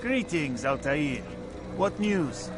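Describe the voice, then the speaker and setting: A man playing a courteous, solemn assassin bureau keeper in a video game, formal and deliberate. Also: on the high side, big pitch swings, over music. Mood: formal, concerned.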